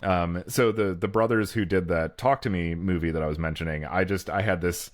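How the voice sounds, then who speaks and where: A man's voice: low voice, fairly even intonation, fairly quick, no room sound, clean studio sound. A man chatting casually about films as a podcast co-host, in a rambling way full of filler phrases. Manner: rambling, peppered with "like" and "you know".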